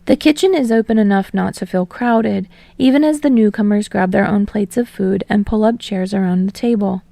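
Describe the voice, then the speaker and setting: A woman's voice: medium pitch, normal speed, vocal fry, mellow, no echo. A woman reading fiction aloud as an audiobook narrator, in a scripted storytelling style.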